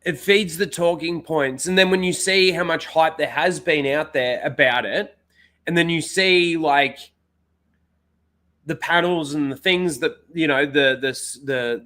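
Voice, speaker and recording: Brief: young guy, streamer, stuffy nose, rambling chat, a touch higher than most men. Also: bright, forceful tone. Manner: rambling, filler-heavy, reads out chat names. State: blocked nose. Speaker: live stream host. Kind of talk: casual rambling livestream chat.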